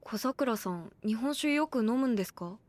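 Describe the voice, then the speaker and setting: A woman's voice: a little high, expressive, normal speed, dry recording, close to an everyday voice. An actress playing an easygoing young female college student, casually polite and a little wry, in a Japanese anime audio drama. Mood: wry, amused. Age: young adult.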